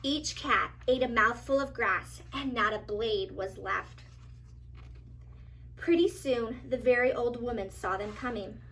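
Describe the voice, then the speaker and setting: A woman narrating a children's storybook as a teacher, with expressive, dramatic emphasis. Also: a little high, unhurried, slight background noise, poor audio.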